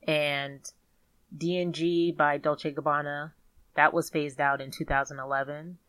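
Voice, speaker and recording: Woman podcast host, pretty low voice, chatty and informal, super dry close sound. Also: level tone, a little slow, a bit bright, no echo. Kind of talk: casual commentary and chat.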